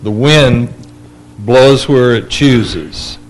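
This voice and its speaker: A man with a big voice in a church discussion group, reading a scripture passage aloud and then reflecting on it slowly and deliberately. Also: medium pitch, faint music behind, low-quality recording. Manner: slow and deliberate.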